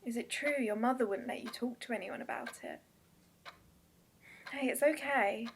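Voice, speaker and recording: A woman's voice: fairly high pitch, normal speed, gravelly, breathy edge. An actress playing a teasing, flirtatious woman who is insecure and wounded underneath, in a radio drama. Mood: subdued, confiding. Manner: teasing, confessional.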